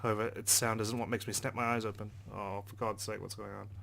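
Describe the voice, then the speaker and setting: A man's voice: on the low side, level tone, fast, vocal fry, heavy background noise. A man reading a visual novel aloud as a let's play host, narrating expressively with casual asides. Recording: game music behind the voice.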